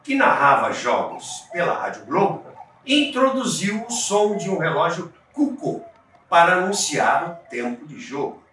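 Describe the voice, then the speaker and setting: A man's voice: fairly high pitch, very animated, a little slow, background noise. A man with a Brazilian Portuguese accent narrates a scripted documentary as host, in an emphatic storytelling manner that addresses the listeners. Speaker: podcast host and narrator of radio history. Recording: roomy echo, distant from microphone.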